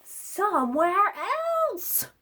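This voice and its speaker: A woman voicing an excitable, easily flustered storybook elephant with dramatic, exclamatory questioning. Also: very high voice, drawn-out words, voice pushed up, exaggerated voice. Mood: exasperated.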